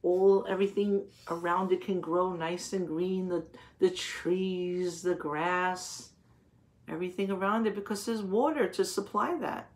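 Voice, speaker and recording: Slow-paced woman reading aloud to children. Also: lowish voice, steady tone, rough recording. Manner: didactic, asking simple rhetorical questions. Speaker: read-aloud teacher. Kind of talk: picture-book read-aloud with explanations for young children.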